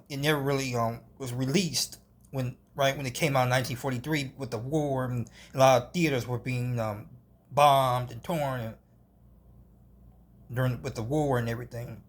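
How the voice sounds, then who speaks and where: A man giving an unscripted film review, halting, often restarting and hedging as he goes. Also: mid-range voice.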